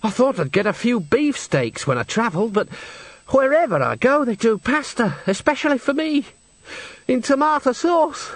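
A man voicing a wistful opera singer from rough city slums, hesitant and complaining, in a storybook reading. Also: very high-pitched, sing-song, moderate pace, no echo, pitched above the performer's own voice, exaggerated voice. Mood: plaintive.

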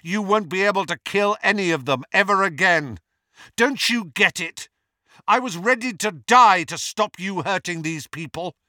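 A man's voice: a little high, lively intonation, a little slow, pushed and bright, no echo, clean studio sound. A man voicing a brave teenage wizard in an audiobook, speaking with firm, earnest defiance. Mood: defiant, earnest.

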